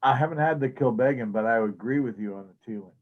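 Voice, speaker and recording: A man's voice: medium pitch, quick pace. A man making playful jokes while chatting casually on a video call. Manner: joking, playful. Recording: dull lo-fi video call audio.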